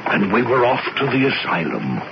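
A wry, gravelly older author. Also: male voice, medium pitch, sing-song, normal speed. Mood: wry narration. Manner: wry, dry narration with dignified asides. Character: wry, dry-witted older male author narrating. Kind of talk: 1970s radio drama narration and acting. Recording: dull old radio broadcast with hiss.

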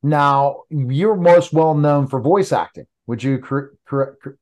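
A man's voice: a little high, takes it slowly, a little mellow. Rambling fifty-year-old male host. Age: 50s. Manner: rambling, stumbles over questions. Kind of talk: casual interview.

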